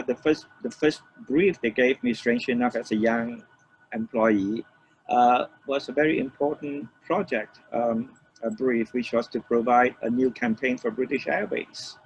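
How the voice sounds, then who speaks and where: Older man, dull noisy recording. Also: mid-range voice. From Malaysia. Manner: halting, repeats phrases as he explains. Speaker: interview guest, record producer. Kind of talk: casual reminiscing in an interview. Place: room with shelves of DVDs, on a remote call. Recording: dull lo-fi call, faint noise.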